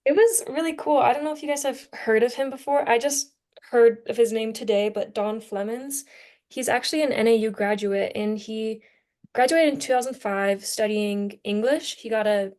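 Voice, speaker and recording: A woman's voice: a little high, normal speed, a little mellow. A young woman in a casual group discussion, speaking informally and with enthusiasm as a student on a podcast. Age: young adult.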